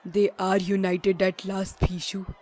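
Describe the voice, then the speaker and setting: A loyal rebel worker played by a woman, impassioned and quick to indignation. The voice sits at a middle pitch, with the theatrical delivery of an audio drama. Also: little pitch movement, a little mellow, faint music behind, natural voice. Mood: mournful. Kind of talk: theatrical stage-play acting in an audio drama.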